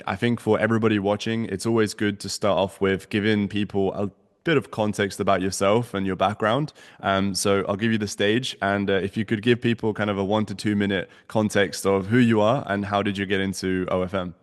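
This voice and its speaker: Upbeat male host with a fairly low voice, long reverb around him. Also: flat delivery, a little fast. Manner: upbeat, promotional, long-winded questions. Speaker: host, agency mentor. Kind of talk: casual interview.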